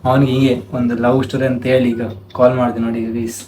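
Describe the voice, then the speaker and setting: A man's voice: mid-range voice, little pitch movement, very fast. A young man chats casually as a prank vlog host, informal and often repeating his phrases. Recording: clear background noise.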